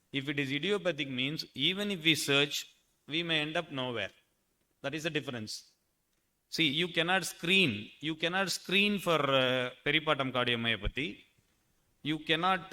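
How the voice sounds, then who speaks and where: A man with a forceful, bright voice leads a medical panel discussion. His pitch sits a little high for a man. He talks with a wry, joking edge as he presses his panelists for answers. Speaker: panel moderator.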